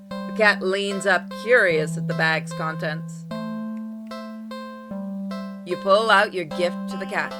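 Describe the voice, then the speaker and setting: Woman narrating over music, lowish voice. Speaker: gamer reading a visual novel aloud on a playthrough. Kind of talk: expressive read-aloud narration of game text. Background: music with plucked guitar.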